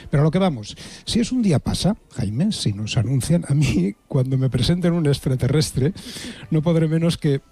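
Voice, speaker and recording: A man's voice: on the high side, animated, moderate pace, warm tone, breathy edge. A middle-aged or older man with an accent from Spain, giving a humorous, conversational monologue as a radio contributor, wry and rambling, often repeating words.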